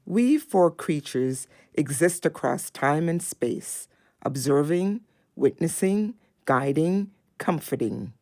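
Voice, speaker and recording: A woman playing a gentle, hopeful dog in an audio drama, calm, measured and tender. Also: low-pitched, no echo, clean studio sound, heavily acted. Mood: calm, solemn.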